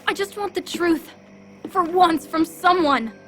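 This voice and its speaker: Wounded stubborn kid, video game drama, high girlish pitch, earnest, clear music underneath. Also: measured pace, fairly bright tone. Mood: hurt, bitter. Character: stubborn, wounded young girl fed up with her town.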